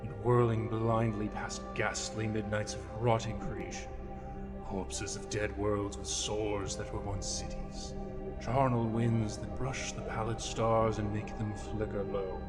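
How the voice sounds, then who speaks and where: Low, grave possessed man played by an actor. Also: flat delivery, slow. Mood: grim, entranced. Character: possessed man feverishly intoning visions of cosmic horror. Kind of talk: horror monologue in a role-playing audio drama. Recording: loud music underneath.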